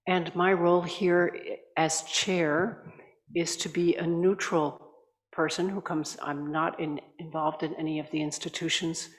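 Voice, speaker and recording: Older lady chairing a meeting, calm and polite, lots of room echo. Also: on the low side. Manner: measured, courteous, slightly halting. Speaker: assembly chair. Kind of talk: formal welcoming address. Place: large conference hall. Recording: echoey reverberant hall.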